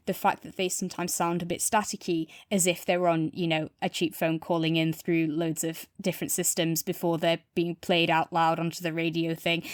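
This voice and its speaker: A woman's voice: lowish voice, clean tone, dry recording, clean studio sound. A woman co-hosting a podcast, talking casually through a review.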